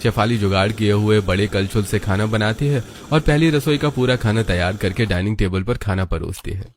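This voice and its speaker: A man's voice: lowish voice, brisk, a little hiss or hum. A man narrating a scripted family cartoon story.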